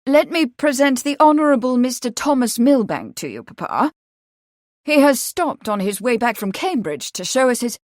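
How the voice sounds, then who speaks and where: A woman voicing an affable, well-bred young gentleman in an audiobook, with polite, clipped, old-fashioned phrasing. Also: on the high side, lively intonation, moderate pace, no echo, studio quality, voice pushed up, lightly acted. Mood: apologetic.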